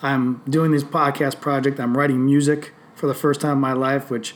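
A man in his late thirties talking casually on a podcast, animated and swearing freely as he tells stories. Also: mid-range voice, normal speed. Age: 30s. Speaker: podcast host.

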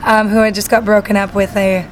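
A woman's voice: mid-range voice, little pitch movement, fairly quick, a little hiss or hum, rough recording. A young woman singer-songwriter from Salt Lake City chatting casually in an interview, bubbly and full of fillers. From Salt Lake City, Utah.

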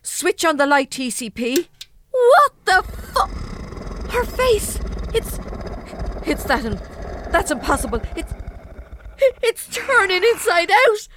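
A woman's voice: very high voice, very animated, takes it slowly, long pauses, fairly bright tone, slight background noise, exaggerated voice. An actress playing a jumpy, blunt young Irish woman in a comedy audio drama. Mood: tense, then horrified. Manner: nervy, blunt, salty. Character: jumpy, plain-spoken young Irish woman, easily spooked.

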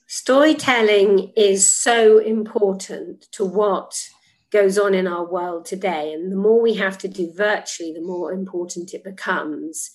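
A woman program leader giving earnest, measured answers in an interview. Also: mid-range voice, poor audio.

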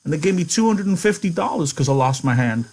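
A man's voice: on the high side, expressive, fast talker, darkish tone, slightly rough, voice pushed up. A man voicing an old, one-handed ranch worker who speaks earnestly and pleads to join in. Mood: pleading. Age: older.

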